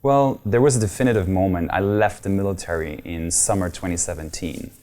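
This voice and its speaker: A man's voice: deep voice, a little fast, warm tone. A man in his thirties from Germany with a German accent, talking earnestly as an interview guest with some hesitant fillers. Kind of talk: casual interview talk.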